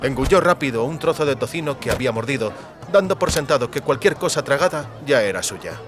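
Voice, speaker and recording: A man's voice: mid-range voice, expressive, a little fast, over music, a crowd in the background. A man reading scripted narration for a fantasy audiobook.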